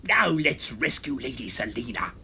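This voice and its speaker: A man's voice: mid-range voice, big pitch swings, moderate pace, vocal fry, bright, forceful tone, lo-fi sound, a little hiss or hum, full character voice. A man voicing a brusque, exasperated, commanding upper-class English uncle in a storybook reading. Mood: gruff consolation.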